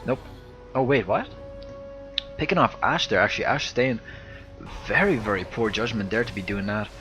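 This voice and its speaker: A soft, slightly lispy Irish man gives live play-by-play of a video game. His voice sits low and close on a headset mic. Faint game music plays underneath. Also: normal speed. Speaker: game commentator. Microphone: headset close to the mouth.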